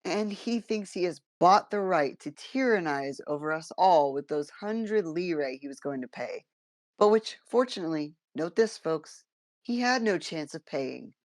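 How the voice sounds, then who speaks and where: Woman doing a scornful son in a stage play, lowish voice, cutting, drawn out, very dry recording. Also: animated, measured pace, no room sound. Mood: disgusted. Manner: sardonic, cutting. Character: contemptuous, sneering son scorning the father. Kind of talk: theatrical stage play acting.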